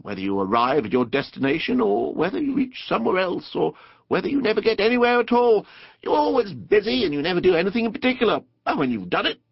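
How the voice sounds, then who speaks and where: A genial water rat from a storybook, acted by a man, hearty and worldly with a dreamy, musing streak. The pitch sits raised and moves about a great deal, and the sound is a little dull. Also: very animated, fast, gravelly, breathy edge, no room sound, exaggerated voice. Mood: composed musing.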